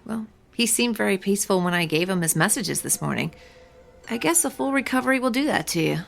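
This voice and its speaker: An actress playing a matter-of-fact, rueful clinic receptionist in a radio drama. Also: female voice, medium pitch, expressive, brisk, vocal fry, soft background music. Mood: rueful gossip.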